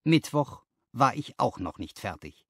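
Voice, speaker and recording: A man's voice: medium pitch, very animated, measured pace, a bit bright, husky, no echo, studio quality. A man reading a story aloud as an audiobook narrator, in scripted first-person narration.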